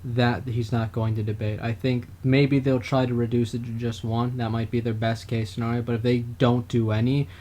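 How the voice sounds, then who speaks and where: A man's voice: mid-range voice, level tone, normal speed, hardly any pauses, dark tone, slight background noise. Guy co-hosting a political podcast, chatty, medium voice, mocks the other side. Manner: mocking, mimics opponents in a raised voice. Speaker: podcast co-host. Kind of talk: political talk show chat.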